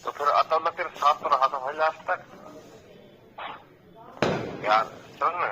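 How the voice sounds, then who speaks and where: A man's voice: on the high side, unhurried, noisy background. A man on a muffled phone call, persuading and often checking for agreement. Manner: persuasive, appealing, checks agreement with tag questions. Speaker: government employee on a recorded phone call. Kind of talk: casual phone conversation, persuading.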